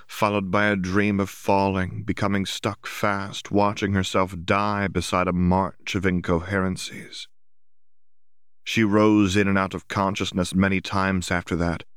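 A man's voice: deep voice, clear, resonant tone, no echo, clean studio sound. A man reading scripted literary fiction as an audiobook narrator.

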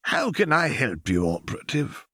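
A man's voice: mid-range voice, wildly swooping pitch, moderate pace, fairly bright tone, dry recording, clean studio sound, voice pushed up, exaggerated voice. A man voicing a wizened, rickety old male archivist in a storybook reading, deferential and obliging. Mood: deferential, helpful. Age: older.

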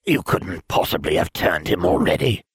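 An imperious storybook dark lord, speaks in a rock-bottom, rough husky voice. He is grandiose and menacing, delivering his lines slowly, with very little room around him. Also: lively intonation, dry recording, clean studio sound, heavily acted. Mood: sneering. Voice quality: husky, very low voice. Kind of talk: audiobook character voice.

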